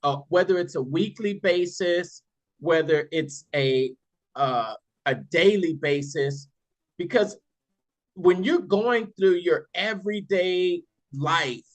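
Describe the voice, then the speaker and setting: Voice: medium pitch, lively intonation. A host leading a sales practice video call, encouraging and affirming the others. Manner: encouraging, affirming, drawn-out delivery. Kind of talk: casual group call.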